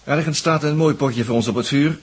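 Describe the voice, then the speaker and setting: A man playing a cheeky, sardonic spaceship crewman in a 1960s radio play, husky and colloquial, with wry grumbling. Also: a little high, brisk. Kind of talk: 1960s science-fiction radio play acting.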